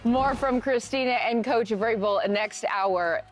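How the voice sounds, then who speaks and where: A woman's voice: a little high, faint music behind. A woman hosting a live football pregame show, teasing the panel and introducing segments. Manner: quick, teasing banter. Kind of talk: live studio sports talk.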